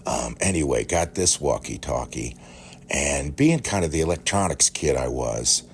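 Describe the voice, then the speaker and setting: Middle-aged American male, extremely low and creaky, rambling storyteller with a halting conversational delivery. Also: sing-song. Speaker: podcast storyteller. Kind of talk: casual, rambling reminiscence. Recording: faint background noise.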